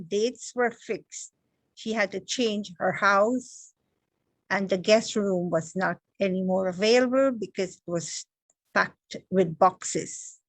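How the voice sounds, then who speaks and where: A woman's voice: mid-range voice, no echo. A woman from Guyana, giving an inspirational spiritual talk as a meditation teacher, stressing her words one at a time in emphatic lists.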